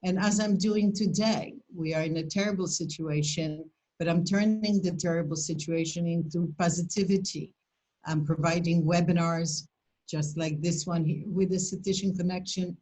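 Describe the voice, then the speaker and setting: A woman's voice: fairly low pitch, warm tone, poor audio. An older woman from Poland with a Polish accent, presenting and answering questions in a webinar over a video call, speaking in an emphatic, motivational way.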